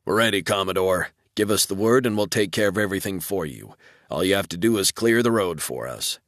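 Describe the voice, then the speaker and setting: Assured, low-voiced Marine major, read by a man. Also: brisk, a bit bright, no echo, studio quality. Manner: crisp military replies. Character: Marine major, confident, dutiful, ready for battle.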